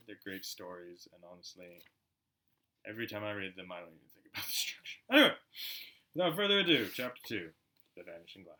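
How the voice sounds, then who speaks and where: Bright, animated man reading a storybook. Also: mid-range voice. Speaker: amateur audiobook reader. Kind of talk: animated storybook narration with chatty asides.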